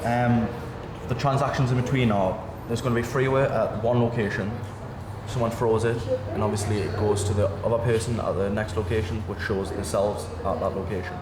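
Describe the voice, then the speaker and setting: A man's voice: lowish voice, steady tone, a little fast, noisy background. Young man explaining a plan. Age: young adult. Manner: matter-of-fact explaining. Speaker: participant. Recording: echoey room with music.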